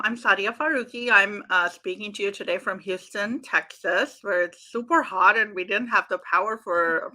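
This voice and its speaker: Gravelly-voiced woman from Pakistan. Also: mid-range voice, pushed and bright, low-quality recording. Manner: chatty, friendly, with fillers. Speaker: author guest. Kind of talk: casual panel self-introduction.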